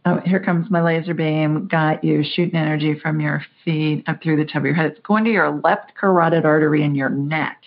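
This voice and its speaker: A woman hosting a call-in show, talking in a brisk, chatty, folksy way. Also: deep voice, fairly even intonation. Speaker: psychic show host. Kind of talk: call-in radio chat. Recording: muffled lo-fi audio.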